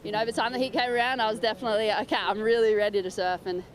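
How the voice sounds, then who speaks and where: A woman's voice: a little high, steady tone, very fast. A woman surfer in a post-heat interview, talking in an upbeat, chatty way. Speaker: pro surfer being interviewed.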